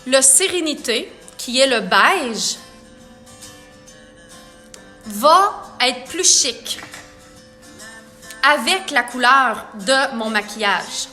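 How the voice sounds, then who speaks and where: A woman's voice: high voice, expressive, very slow, long pauses, bright, forceful tone, soft background music. A woman makeup artist with a Quebec French accent, chatting playfully with viewers during a live makeup tutorial. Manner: chatty, playful, reads viewer comments aloud.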